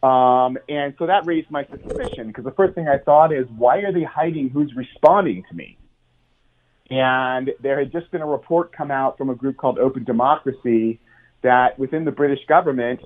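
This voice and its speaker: American man on a tinny phone line. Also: mid-range voice. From United States. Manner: earnest, explanatory, with many ums and restarts. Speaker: journalist guest. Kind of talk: phone interview on talk radio.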